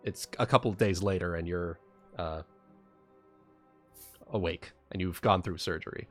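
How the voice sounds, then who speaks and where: A man narrating a tabletop game as game master, halting and repeating phrases as he improvises, with faint music behind him. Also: low voice, a bit bright.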